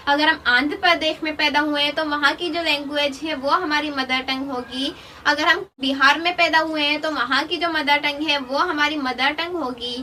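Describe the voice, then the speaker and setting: High, bright-voiced woman teaching. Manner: explains step by step, mixing English terms into Hindi; reads article titles slowly, then explains. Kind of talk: online lesson. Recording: distant mic with faint noise.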